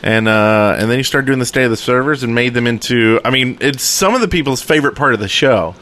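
Guy podcast host, bright forward voice, just shooting the breeze. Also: mid-range voice, fast. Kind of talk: casual group podcast chat.

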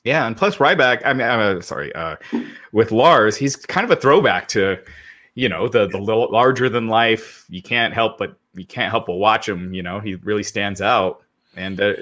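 A man's voice: lowish voice, animated, moderate pace, bright, forceful tone. A man co-hosting a podcast in casual chat, agreeable and easygoing, reading out listener comments. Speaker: podcast co-host.

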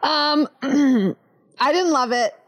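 A woman's voice: high-pitched, drawn-out words, muffled recording. A young woman co-hosting a podcast, blunt and giggly in casual chat, sounding congested and nasal from a cold. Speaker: podcast co-host.

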